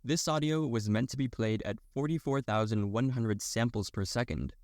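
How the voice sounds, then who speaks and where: A man's voice: mid-range voice, normal speed, darkish tone. A young adult man reading a scripted technical explainer as a narrator.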